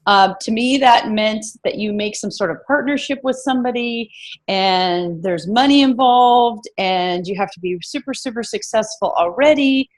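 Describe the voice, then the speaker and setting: A woman's voice: on the high side, moderate pace. A woman hosting a casual interview, chatty and full of fillers, with a slightly froggy voice. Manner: chatty, many fillers and restarts. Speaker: host.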